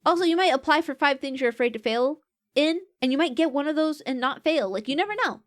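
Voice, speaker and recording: A chatty woman talking casually on a stream, with a somewhat high voice. She rambles with many fillers and restarts. Her pitch rises and falls freely with what she is feeling. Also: fast talker. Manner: pitch swings widely with mood.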